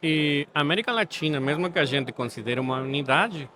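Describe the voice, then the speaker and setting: A man from Guatemala with a Spanish accent, speaking Portuguese as a second language as a podcast guest, measured and thoughtful. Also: on the high side, pushed and bright. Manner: thoughtful, measured, ends points with "sabe?". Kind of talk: podcast interview. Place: studio setting.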